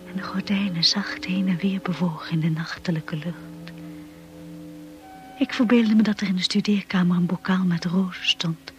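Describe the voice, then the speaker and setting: An actress as breathy, wistful young woman. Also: fairly low pitch, lightly acted. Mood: tense wonder. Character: wistful, shy young woman narrating her memories. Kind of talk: radio drama narration.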